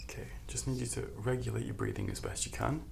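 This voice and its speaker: Hushed, very creaky man in ASMR doctor roleplay. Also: mid-range voice, huge pitch swings. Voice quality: soft, hushed, at times whispered. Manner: gentle, reassuring, unhurried bedside talk. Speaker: ASMR performer playing a doctor. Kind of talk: softly spoken ASMR medical roleplay.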